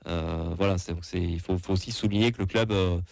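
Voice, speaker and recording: A man's voice: low voice, steady tone, a little fast, gravelly, darkish tone, dry recording, clean studio sound. A young man with a southwest French accent, speaking for a supporters' association, hesitant and full of fillers and restarts. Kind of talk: casual radio talk.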